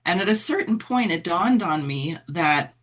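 A woman's voice: on the low side, lively intonation, moderate pace. A middle-aged woman in an earnest conversation, thinking aloud with fillers as she makes her case. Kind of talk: conversational political talk.

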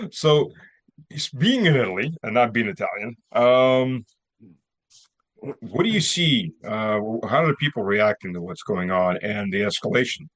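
A man's voice: mid-range voice, big pitch swings, measured pace, long pauses, fairly bright tone, rough recording. A man hosting a casual interview show over a video call, joking and rambling with lots of fillers. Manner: jokey, rambling with many fillers. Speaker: show host.

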